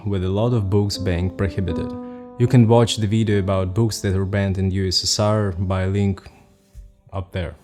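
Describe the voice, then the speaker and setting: Russian guy, lowish voice, calm explainer read, soft guitar music underneath. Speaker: vlogger. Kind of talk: scripted explainer narration.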